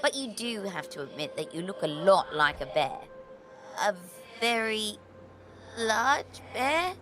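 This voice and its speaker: Lively, wry little ghost girl. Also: medium pitch, takes it slowly, heavily acted. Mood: wry defiance. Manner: wry, deadpan defiance. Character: plucky deadpan little ghost girl, unafraid. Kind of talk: fantasy audio drama acting. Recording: loud music and effects behind.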